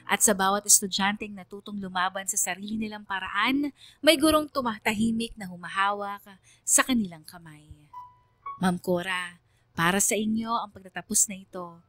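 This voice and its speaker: A woman playing a quiet, grateful young student, narrating her own story in an earnest, reflective, sentimental radio drama style. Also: medium pitch, no echo, faint music behind, close to an everyday voice. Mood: heartfelt, grateful. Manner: earnest, reflective, deliberate delivery.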